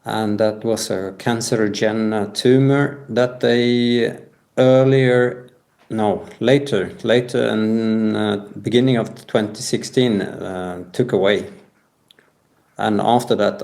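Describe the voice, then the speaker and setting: Slow-talking man, halting and low. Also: steady tone, gravelly, a little mellow. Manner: halting, hesitant phrasing. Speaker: podcast guest. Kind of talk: personal account in an interview.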